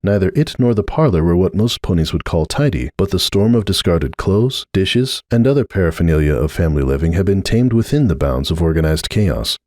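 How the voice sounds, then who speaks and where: Deep-voiced, expressive male narrator. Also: no echo, studio quality. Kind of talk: dramatic audiobook narration of fan fiction.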